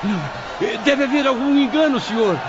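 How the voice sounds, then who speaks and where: A man's voice: very high-pitched, expressive, normal speed, raised voice, muffled recording, a loud crowd, heavy background noise. A man playing an anxious spirit in a radio drama, protesting in an agitated, pleading way.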